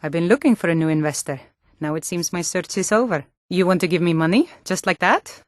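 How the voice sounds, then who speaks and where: An actress playing a sturdy, earnest Nord woman in a fantasy video game, with clear, deliberate delivery. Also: on the low side, no room sound, clean studio sound, close to an everyday voice. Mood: grateful.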